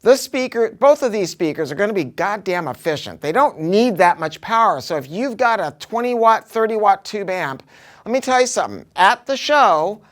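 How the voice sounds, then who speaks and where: A man's voice: sits high, sing-song, normal speed. A man giving a casual product review, talking informally and emphatically with some salty language.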